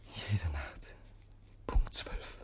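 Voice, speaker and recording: An actor playing a deranged, guilt-ridden murderer in 1950s German radio drama, halting and repeating himself, given to breathless whispers and shrieks. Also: male voice, a bit bright. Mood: haunted, insistent. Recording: noisy 1950s radio recording.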